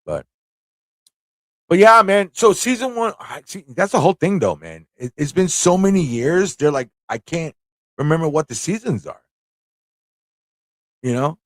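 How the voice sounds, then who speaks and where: A man's voice: fairly high pitch, sing-song, measured pace, long pauses, no echo, studio quality. A man hosting a livestream fan chat, talking in a rambling, informal way with frequent rhetorical tag questions. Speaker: livestream talk show host.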